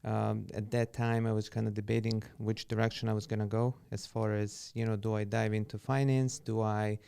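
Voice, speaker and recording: A man in his forties from Serbia, a podcast guest and real estate agent talking in a relaxed, unhurried way with frequent fillers. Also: lowish voice, gravelly, clear, resonant tone. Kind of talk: casual interview conversation.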